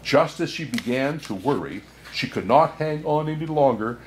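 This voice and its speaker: A man playing a kindly, jolly old Santa Claus, reading a children's storybook aloud in an expressive way. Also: medium pitch, a little hiss or hum, lightly acted, rough recording. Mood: gentle storytelling. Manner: unhurried, chuckling asides, expressive storytelling.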